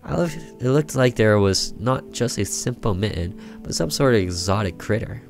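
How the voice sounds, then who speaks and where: Low-voiced man reading a horror game. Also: animated, normal speed, vocal fry. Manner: theatrical storytelling with side comments. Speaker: let's player. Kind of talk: reading a horror visual novel aloud. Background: game music with guitar.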